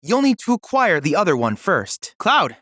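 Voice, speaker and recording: Polite, lively-pitched boy android assistant. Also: normal speed, breathy edge, no room sound, studio quality. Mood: helpful, earnest, then informative, precise. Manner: formal, precise, courteous. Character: polite, eager, scholarly teenage boy android research assistant. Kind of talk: scripted video game character dialogue.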